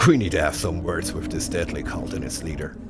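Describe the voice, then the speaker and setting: A man presenting a game showcase in scripted, measured, explanatory promotional narration. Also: extremely low pitch. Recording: voice-over with clear music behind.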